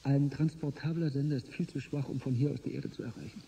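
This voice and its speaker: An actor playing a calm, reassuring historian and physicist in a German science-fiction radio drama, speaking in a measured, explanatory way. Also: male voice, medium pitch, creaky, slight background noise, lightly acted, rough recording.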